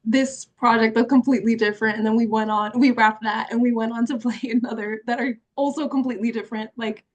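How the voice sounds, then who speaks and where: A woman's voice: on the high side, steady tone, quick pace. A young woman, an actress, chatting casually over a video call, laughing often and filling her talk with "like". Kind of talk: casual conversation.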